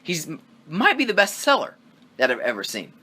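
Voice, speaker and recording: A man co-hosts a loose wrestling review chat, rambling with excitement and dropping casual swears. His voice bounces around a lot in pitch and has a bright, forward tone. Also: a little fast. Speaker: podcast co-host.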